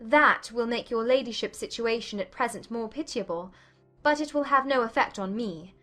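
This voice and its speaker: Woman playing a high-voiced, defiant young gentlewoman. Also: moderate pace, faint music behind, close to an everyday voice. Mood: firm, defiant. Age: young adult. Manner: composed, articulate, firm. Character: poised, defiant young gentlewoman, composed and witty. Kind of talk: dramatized audiobook acting.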